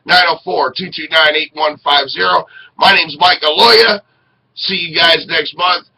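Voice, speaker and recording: A man's voice: a little high, measured pace, gravelly, sharp tone, far from the mic. A middle-aged man hosting an informal web show in an enthusiastic, chummy way. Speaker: radio show host. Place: small indoor room with posters. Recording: noticeably noisy home webcam audio.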